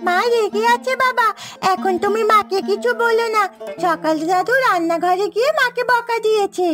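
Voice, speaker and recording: A woman's voice: very high-pitched, normal speed, fairly bright tone, voice pushed up. A woman voicing a young granddaughter in a Bengali cartoon, a cheeky little girl who plays the peacemaker. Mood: cautioning. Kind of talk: Bengali moral-story cartoon dubbing. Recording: faint music behind the voice.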